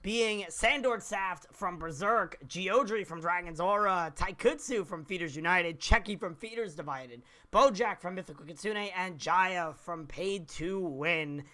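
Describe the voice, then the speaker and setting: A man hosts a casual esports podcast with a hoarse voice that is starting to give out. His voice sits a little high and bright. He reads lists of names and trades light jokes with his co-hosts. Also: measured pace, few pauses. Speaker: podcast host. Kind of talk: casual esports awards commentary.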